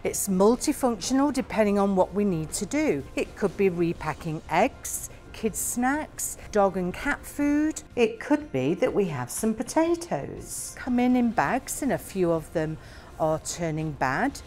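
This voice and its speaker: Woman walking folks thru a warehouse, slow and plain, soft music underneath. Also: mid-range voice, sing-song. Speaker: warehouse tour guide. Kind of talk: guided tour narration.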